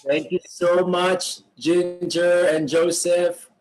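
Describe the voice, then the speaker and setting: A man from the Philippines, moderating a live online event in a halting, pausing way. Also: high voice, flat delivery, low-quality recording. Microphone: video call.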